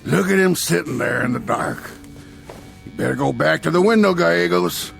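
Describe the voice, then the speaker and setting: A gleefully cruel frontier peddler in a radio drama. His voice is high and very gravelly, swooping through slow, sing-song taunts like a salesman enjoying torment. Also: fairly bright tone, heavily acted. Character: loud, gleefully cruel peddler in a Western town. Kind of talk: old-time radio drama acting.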